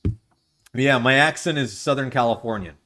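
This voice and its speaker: A man's voice: mid-range voice, moderate pace, bright, forceful tone, slight background noise. Ironic playful male streamer. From Canada. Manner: ironic, playful, self-mocking. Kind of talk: reading a visual novel aloud and chatting with chat.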